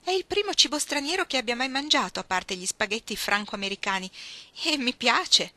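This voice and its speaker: A woman's voice: on the high side, expressive, fairly quick, bright, forceful tone, no room sound, voice pushed up. A woman voicing a delighted, rambling elderly lady in an audiobook, easily sidetracked. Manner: rambling, wondering. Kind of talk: audiobook story acting.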